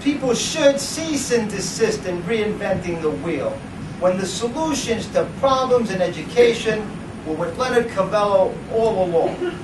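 Theatrical man in a noisy, echoey room. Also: sits high. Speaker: presenter reading at a book event. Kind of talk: dramatic reading and speech to a live audience. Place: indoor library event room. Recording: roomy, noisy, distant camera microphone.

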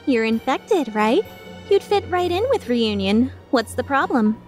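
Girl voicing playful evil merc chick for a game, high swoopy voice, taunting and sarcastic. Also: big pitch swings, unhurried, faint music behind. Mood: playful persuasion. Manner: teasing, mock-sincere, sardonic. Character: playful, taunting, mischievous young female mercenary villain. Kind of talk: fan dub of game dialogue.

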